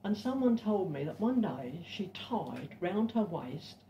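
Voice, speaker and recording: An older woman from England with a self-described deep voice, chatting and reciting verse at a folk club in a pub. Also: sing-song, dark tone, slight background noise. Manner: chatty, reads verse slowly and sings unaccompanied. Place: cosy pub back room. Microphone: stand mic, filmed from across the room. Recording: roomy, distant, dull pub recording.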